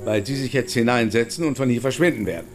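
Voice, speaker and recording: An actor playing a bitter, heartbroken, wrathful writer in a radio drama, scornful, formal and emphatic. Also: male voice, mid-range voice, fast, music in the background, lightly acted. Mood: bitter anger.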